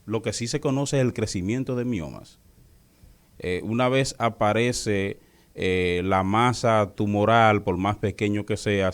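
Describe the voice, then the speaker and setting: A man with a fairly low voice speaks as a doctor being interviewed. He explains in a didactic way, with halting phrasing and repeated words as he searches for the next term.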